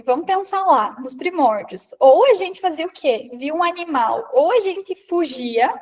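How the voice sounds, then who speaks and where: A woman's voice: sits high, darkish tone. A woman from the interior of Paraná with a Paraná accent, giving a conversational talk that addresses listeners directly, often tagging her sentences with a questioning "né?". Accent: Paraná interior Brazilian Portuguese. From Paraná, Brazil. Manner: conversational, frequent rhetorical "né?"; addresses listeners directly, reads out their comments. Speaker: speaker on public presence and confidence. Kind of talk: live online talk to a women's group.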